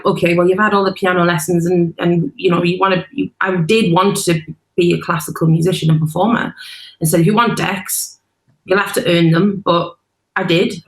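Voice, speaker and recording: A woman speaking as a panel guest, thoughtful and hesitant, often restarting her sentences. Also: lowish voice. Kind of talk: panel discussion. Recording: roomy echo.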